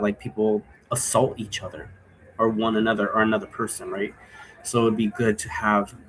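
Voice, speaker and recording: A man's voice: mid-range voice, poor audio. A man with a mid-range voice teaches in a loose, unscripted way. He rambles through his explanation and checks that the listener follows. Some ambient noise sits behind his voice. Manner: rambling, checks understanding with "right?". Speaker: teacher explaining occult role-play rules. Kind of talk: casual unscripted explanatory talk.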